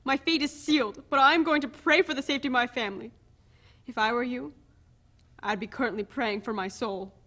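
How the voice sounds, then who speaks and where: A woman acts out a condemned family man in a stage play reading. The voice is high and sharp, bitter and reproachful, with devout earnestness. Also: fairly quick. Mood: bitter, resigned.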